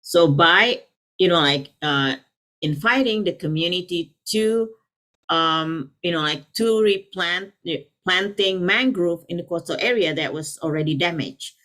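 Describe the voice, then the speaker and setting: Woman, from Indonesia, middle-aged, lowish voice, rambling panel talk, many fillers. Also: a little slow, a bit bright. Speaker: panel speaker. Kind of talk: casual conference talk.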